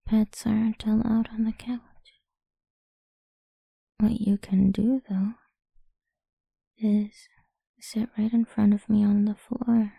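A woman speaking in a soft, slow, coaxing whisper for a close-mic ASMR roleplay. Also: mid-range voice, vocal fry, husky, no echo, highs cut off.

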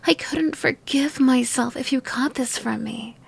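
A young woman in a whispered ASMR girlfriend roleplay, talking softly and affectionately while sick and worn out. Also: high voice, unhurried, gravelly, breathy edge.

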